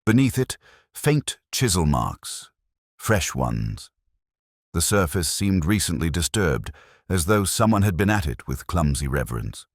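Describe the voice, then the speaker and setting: A man playing a melancholy police detective, narrating a mystery in a measured, literary, reflective way. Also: low-pitched, big pitch swings, no echo, studio quality, exaggerated voice. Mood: somber, observant. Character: brooding, melancholy male police detective narrating his case. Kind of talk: scripted first-person mystery narration.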